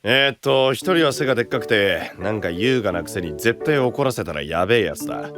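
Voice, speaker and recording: A man playing a brash, good-hearted young knight who talks rough and blunt in an anime-style voice drama. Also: on the low side, expressive, brisk, over music. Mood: casual, gruff. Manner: rough, blunt, casual tough-guy speech.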